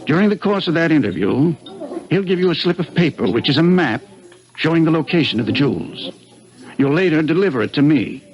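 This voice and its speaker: An actor playing a smooth, scheming middle-aged businessman who speaks in a calm, persuasive and self-assured way. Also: on the high side, brisk, lo-fi sound, lightly acted. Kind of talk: 1950s radio drama acting.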